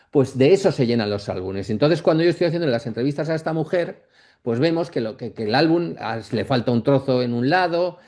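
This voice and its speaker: A man lectures in an academic masterclass. His voice sits at a medium pitch with a dark, relaxed tone. He thinks aloud in a reflective, halting way and punctuates his points with little check-in tags. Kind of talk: masterclass lecture, speaking off the cuff.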